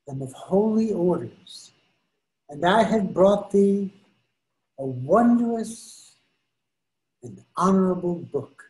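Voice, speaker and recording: An older man reading the part of the devil disguised as a friar, slow and coaxing, in a video call reading. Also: high voice, a little mellow, full character voice, low-quality recording. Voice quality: slow, drawn-out, coaxing delivery. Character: devil posing as a sweet-talking friar, male. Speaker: reader in readers theater. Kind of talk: amateur readers theater over video call. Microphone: video call webcam.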